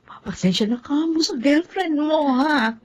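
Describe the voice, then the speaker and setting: A woman's voice: high voice, expressive, a little slow, a little mellow, a little hiss or hum. An actress playing an astonished, apologetic older mother in a Tagalog radio drama.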